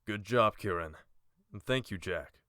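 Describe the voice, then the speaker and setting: A man's voice: lowish voice, a little slow, sharp tone, no echo, studio quality. A man voicing a proud, formal mage leader in a fantasy audiobook, speaking in a declamatory way. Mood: approving, grand.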